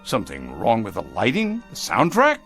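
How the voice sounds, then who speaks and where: An actor playing a smug company boss obsessed with progress, with a pompous, condescending, theatrical delivery in a drama. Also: male voice, on the low side, huge pitch swings, moderate pace, vocal fry, a bit bright, soft background music, heavily acted. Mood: smug, prodding. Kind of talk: dramatic radio-play style acting.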